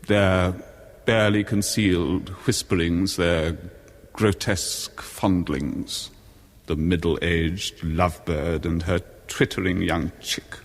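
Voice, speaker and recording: Ageing cuckolded husband, low voice, scornful, florid, precise, 1970s radio horror, long echo. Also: male voice, fairly even intonation, takes it slowly, heavily acted. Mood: bitter indignation. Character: bitter, pompous ageing cuckolded English husband.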